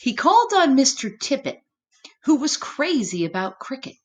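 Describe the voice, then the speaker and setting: A woman's voice: high voice, sing-song. A woman reading a picture book aloud to children expressively, speaking directly to her young listeners. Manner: pauses to address young listeners. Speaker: storytime reader.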